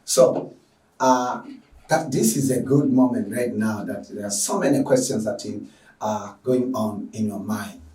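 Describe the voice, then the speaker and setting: A man's voice: on the low side, a little slow, warm tone, roomy sound, distant mic, rough recording. A man from Tanzania giving an effusive, filler-heavy welcome as an online lecturer. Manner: effusive, repetitive, with frequent fillers. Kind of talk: lecture introduction.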